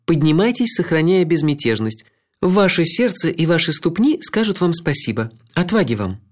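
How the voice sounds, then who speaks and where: Brief: a male reader putting on a high, lively woman's voice, teasing and battle-worn, for an audiobook. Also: moderate pace. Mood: amused, friendly. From Croatia. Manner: teasing, matter-of-fact, stoic. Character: wry red-haired woman, warm but battle-worn. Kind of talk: audiobook character voice.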